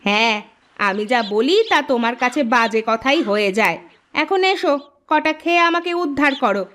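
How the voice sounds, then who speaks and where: A woman voicing a sharp-tongued village housewife who scolds her scheming husband. She talks in a scolding, sarcastic way in a cartoon. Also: fairly high pitch, animated, moderate pace. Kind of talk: cartoon voice acting.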